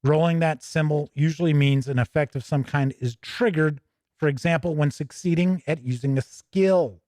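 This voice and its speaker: A man's voice: on the high side, moderate pace, darkish tone, dry recording. A man hosting a tabletop gaming show, giving casual, chatty, rambling commentary off the cuff. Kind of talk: casual unscripted commentary while leafing through a book.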